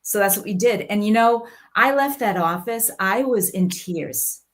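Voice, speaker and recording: A woman's voice: medium pitch, unhurried. A middle-aged woman with a strong Boston accent, telling her health story in a casual online talk and acting out the conversations she had. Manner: earnest storytelling, reenacts dialogue, laughs easily.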